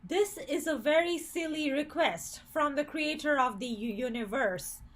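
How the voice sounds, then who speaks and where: A woman's voice: sits high, expressive, measured pace. A woman from Bangladesh giving an earnest, critical opinion commentary, with occasional stumbles and restarts.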